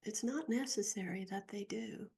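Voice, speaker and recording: A woman leading a guided meditation as a teacher, speaking gently and softly in a breathy, husky voice with long pauses. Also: medium pitch. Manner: slow, gentle, soft-spoken with long pauses.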